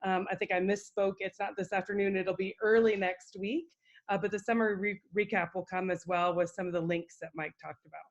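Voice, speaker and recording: A woman's voice: medium pitch, fairly even intonation, brisk. A woman moderating a webinar, friendly and upbeat, reading a question and wrapping up the session with a few ums. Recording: dull, muffled web call audio.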